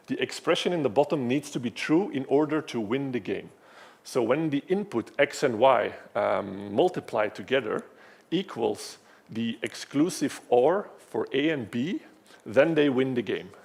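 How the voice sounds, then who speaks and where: A man's voice: mid-range voice, lively intonation, unhurried, gravelly, darkish tone, husky. A man giving a live technical talk at a conference, explaining step by step in a self-deprecating way with frequent restarts and fillers. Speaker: conference speaker.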